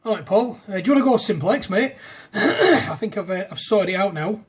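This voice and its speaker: Man with a higher-pitched voice. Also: lively intonation, moderate pace, husky. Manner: matey, uses radio jargon and call signs. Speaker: amateur radio operator making a demo video. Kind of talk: casual radio chat. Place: indoors at a desk in a front room.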